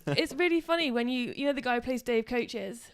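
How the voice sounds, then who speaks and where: A woman co-hosting a casual live stream with her husband, chatty and quick to laugh, often trailing off. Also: on the high side, expressive, smooth. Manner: chatty, laughs easily, trails off mid-sentence. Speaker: co-host of a motorcycle channel live stream.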